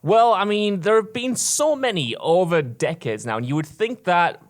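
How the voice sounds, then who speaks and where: A man's voice: sits high, expressive, moderate pace. Man chatting as podcast co-host. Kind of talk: casual panel discussion.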